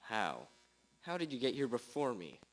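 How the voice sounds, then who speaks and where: A man's voice: medium pitch, wildly swooping pitch, moderate pace, soft background music, poor audio. A man playing a gloomy, washed-up detective with a short temper in an amateur noir radio drama. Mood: incredulous.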